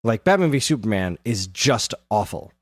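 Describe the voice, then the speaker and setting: A man's voice: fairly low pitch, sing-song, normal speed, no room sound, studio quality. A man co-hosting a podcast in casual conversation, animatedly retelling things and acting out the odd quote. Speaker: podcast co-host. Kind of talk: casual chat about films.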